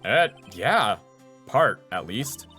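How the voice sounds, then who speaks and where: A man's voice: on the low side, big pitch swings, extremely slow, sharp tone, soft background music, pitched below the performer's own voice, exaggerated voice. A man voicing an earnest young male rookie lawyer in a video game, hesitant and eager. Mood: flustered.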